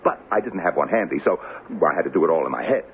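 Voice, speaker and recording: An actor in a 1970s radio drama playing the Devil as a boastful, sly, theatrical hustler. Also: male voice, sits low, lively intonation, quick pace. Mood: grandiose. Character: the Devil as a smug, grandiose, wheedling male hustler. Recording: tinny, noisy 1970s radio broadcast.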